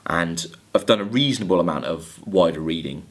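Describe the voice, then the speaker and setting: Guy in his 30s teaching lit, lowish voice, really swings up and down, stops and restarts for emphasis. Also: expressive, a little fast. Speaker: college English literature lecturer. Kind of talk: lecture with quoted lines of verse. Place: indoors, recorded video lecture at a desk.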